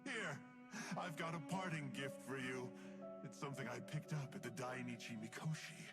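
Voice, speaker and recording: A man playing a sly, flippant young traitor from a dark order in a game, speaking in a teasing, offhand manner. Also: mid-range voice, normal speed, lightly acted. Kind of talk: game voice acting. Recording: game audio with loud music.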